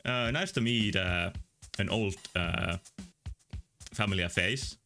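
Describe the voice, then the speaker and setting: Bright-voiced man streaming a game. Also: sits low, slow pace. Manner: rambling, thinking aloud with fillers. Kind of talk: casual game stream commentary. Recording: faint game music behind.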